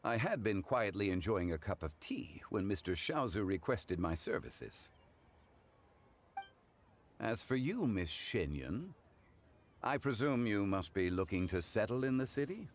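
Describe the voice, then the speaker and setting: A man playing a composed, learned and courteous gentleman in a video game, speaking in a formal, measured, eloquent way. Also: fairly low pitch, very animated, exaggerated voice. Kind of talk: video game character dialogue.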